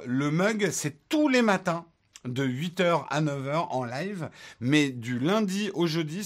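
Chatty man hosting a tech livestream. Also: a little high. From France, raised in the United States. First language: English. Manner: relaxed, chatty, many fillers, joking asides. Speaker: tech channel host answering live chat questions. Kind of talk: casual livestream chat.

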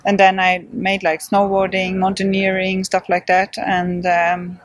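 A woman's voice: medium pitch, monotone, unhurried, no echo. Hesitant Swedish-accented woman, dry sound. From Sweden. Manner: hesitant, many fillers, laughs often. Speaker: interviewed trail runner. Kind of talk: casual post-race interview.

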